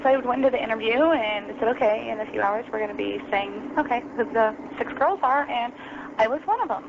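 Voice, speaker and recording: A woman's voice: fairly high pitch, a little fast, gravelly. A young adult woman telling her story as an interview guest over the phone, casual and full of ums and you-knows. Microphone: phone call. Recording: lo-fi phone line, noisy.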